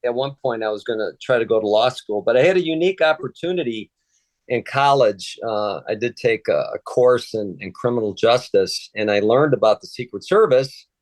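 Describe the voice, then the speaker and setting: A man in his sixties from Chicago, a native Polish speaker, talking in a relaxed, hesitant way with many fillers and restarts as an interview guest. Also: medium pitch, a little fast, dry recording. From Chicago, USA. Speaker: interview guest, retired Secret Service agent. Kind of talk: casual conversational interview.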